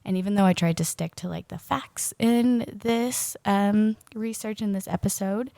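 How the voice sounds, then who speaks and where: A woman's voice: mid-range voice, normal speed, creaky, mellow, no echo. A woman in her thirties co-hosting a podcast in casual chat, her voice still scratchy after a cold, talking with frequent ums and laughs. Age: 30s. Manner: chatty, laughs often, many ums. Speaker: podcast co-host.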